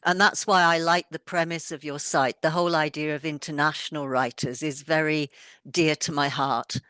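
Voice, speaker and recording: A woman's voice: low voice, fairly even intonation, normal speed, fairly bright tone, clean tone, no room sound. A middle-aged woman author with a mixed British accent, a touch of Welsh and Yorkshire, talking thoughtfully in an interview. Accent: mixed British, with a little Welsh and Yorkshire. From born in England, raised moving around the world. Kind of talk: casual conversation.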